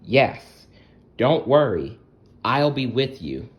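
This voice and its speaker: A man voicing God in a children's storybook, calm, reassuring and deliberate. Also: mid-range voice, muffled recording. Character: God, calm reassuring all-present protector.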